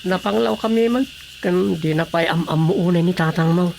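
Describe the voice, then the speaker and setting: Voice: medium pitch, moderate pace, vocal fry, darkish tone, a little hiss or hum, natural voice. An actor with an Ilocano accent playing a poor, humble young villager in a radio drama.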